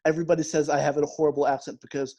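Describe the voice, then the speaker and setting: A man's voice: on the high side, level tone, rapid-fire, warm tone. A young man co-hosting a casual film podcast, joking and teasing his co-host, with hesitant fillers.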